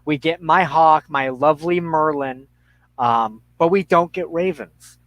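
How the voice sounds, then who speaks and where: A middle-aged man in a reflective conversation, speaking haltingly and thoughtfully with pauses mid-sentence. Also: on the high side, fairly bright tone, dry recording.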